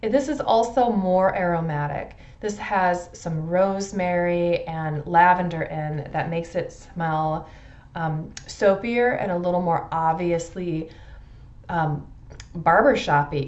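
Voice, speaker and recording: A middle-aged woman giving a casual product review to camera in a measured, thoughtful way, stressing descriptive words. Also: on the low side, darkish tone, highs cut off. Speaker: fragrance reviewer. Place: indoors in a furnished room at home.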